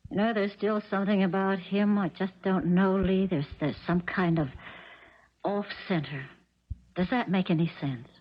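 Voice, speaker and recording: An American woman playing an uneasy, wounded stepmother in a 1970s radio drama, speaking in a halting, earnest way. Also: mid-range voice, steady tone, lightly acted. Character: uneasy, hurt stepmother with eerie intuition. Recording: muffled 1970s radio recording.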